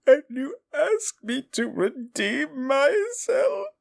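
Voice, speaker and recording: A man's voice: extremely high pitch, very animated, slow pace, gravelly, heavily acted. A man voicing a proud, scornful male demon of desire in an audio roleplay drama, who talks down to the listener with theatrical contempt. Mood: anguished.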